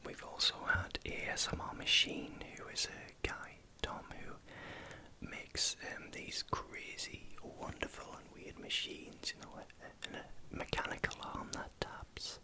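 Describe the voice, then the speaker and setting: A man with a Geordie accent from northeast England, speaking as an ASMR creator in a hesitant, halting way while recounting things. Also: sharp tone. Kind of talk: whispered ASMR vlog, recounting.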